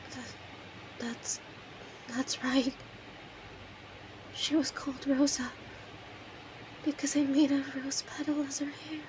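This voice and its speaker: A woman's voice: sits high, background noise. A woman playing an obsessive, lovesick kidnapper in her thirties in an intimate, emotional audio roleplay monologue. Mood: wary, hesitant. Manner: clingy, emotional, swings between breathy whispers and outbursts.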